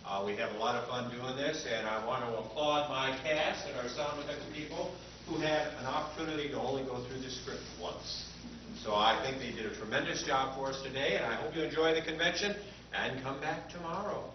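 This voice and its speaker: A distant, echoey recording with clear noise carries a man hosting a stage event. He talks informally to the audience in a mid-pitched voice. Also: brisk. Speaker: host of the stage performance. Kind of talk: informal closing remarks to audience. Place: convention hall before an audience. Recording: distant echoey hall, dull, noisy.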